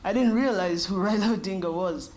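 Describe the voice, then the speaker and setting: A woman's voice: medium pitch, a little mellow. Thoughtful woman in her thirties. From Kenya. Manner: thoughtful, measured, with short pauses. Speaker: interview guest. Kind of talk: reflective interview answers.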